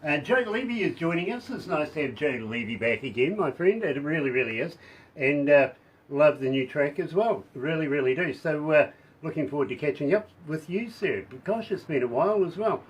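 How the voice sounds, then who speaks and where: A man hosting a radio interview, effusive and chatty, laughing often, with a hoarse voice. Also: fairly high pitch, lively intonation, fairly quick, rough recording. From New Zealand. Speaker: radio host.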